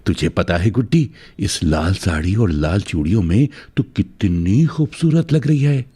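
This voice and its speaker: A man's voice: lowish voice, lively intonation, measured pace, mellow, husky. A man voicing a rich young bridegroom in a cartoon story, doting and possessive, speaking fervently and emphatically. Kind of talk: melodramatic cartoon story acting.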